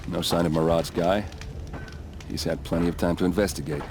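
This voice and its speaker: An actor playing a gruff, loyal soldier captain in a video game, talking bluntly and informally. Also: male voice, deep voice, fairly even intonation, a little fast, background noise. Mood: gruff, determined. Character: gruff, plain-spoken male soldier captain, loyal and ready. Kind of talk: video game cutscene acting.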